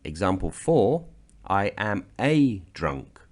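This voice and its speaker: Man, very low voice, unhurried, from Britain, teaching grammar from slides. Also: sing-song, darkish tone. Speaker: language teacher. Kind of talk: scripted slideshow narration.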